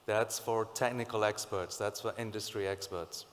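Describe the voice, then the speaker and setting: A man with a croaky sore throat presents to a conference audience, his voice sitting somewhat low. He halts often, fills pauses and restarts his sentences. The sound carries a long reverb from the hall. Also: fairly even intonation. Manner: halting, many fillers and restarts. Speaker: conference speaker. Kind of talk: technical presentation.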